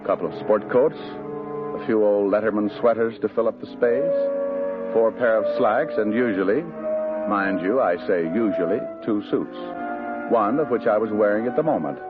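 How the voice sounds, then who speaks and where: A man's voice: lowish voice, moderate pace, lightly acted. A thin, phone-like vintage radio recording with music carries an actor as an American private detective. He is a man with a sardonic, wisecracking way, telling his own troubles in old radio-noir style. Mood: wry, aggrieved. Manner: wry, sardonic. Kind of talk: 1950s American radio detective acting, first-person narration.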